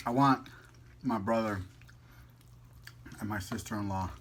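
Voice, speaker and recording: A man's voice: on the low side, a little slow. Playful, rambling man over noisy ambience. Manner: playful, rambling, talks while eating. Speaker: food vlogger. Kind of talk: casual mukbang chat.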